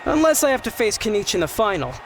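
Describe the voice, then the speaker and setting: A man voicing an easygoing, modest young fighter in a video game, relaxed and good-natured. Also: high voice, quick pace, a faint crowd, a little hiss or hum, poor audio. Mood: gracious.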